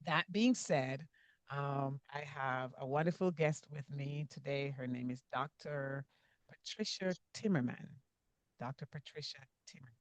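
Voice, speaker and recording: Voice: mid-range voice, unhurried, no room sound. An effusive host of a faith podcast who repeats phrases for emphasis. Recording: dull, dry lo-fi call audio.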